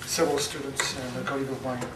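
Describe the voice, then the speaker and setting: A man giving a conference talk, loud and halting, with many fillers. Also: mid-range voice, level tone, heavy background noise. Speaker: conference speaker. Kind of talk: academic presentation. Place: lecture room. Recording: very echoey, from far off.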